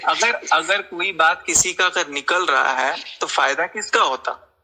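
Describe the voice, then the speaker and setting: Insistent man with a bright, high voice. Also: a little slow. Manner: insistent, confrontational, repeats questions. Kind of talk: heated argument in a group call. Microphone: recorded group voice call.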